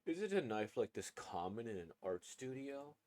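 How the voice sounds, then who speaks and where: A man voicing a stern, guarded adult questioning a student in a horror manga, firm and deliberate. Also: medium pitch, very animated, a little mellow, close to an everyday voice. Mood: stern, probing.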